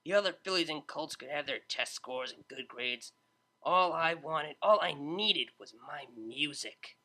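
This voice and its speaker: A man's voice: a little high, expressive, unhurried, bright, forceful tone. A man voicing a laid-back young female unicorn DJ, talking in a casual, slangy, self-mocking way. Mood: passionate. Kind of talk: fan audiobook character voice.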